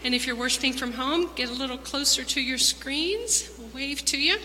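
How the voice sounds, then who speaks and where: A woman's voice: high-pitched, moderate pace, sharp tone. A woman leading a children's moment in a church service, speaking simply and conversationally to young listeners. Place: large church sanctuary. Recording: reverberant church hall, humming background noise.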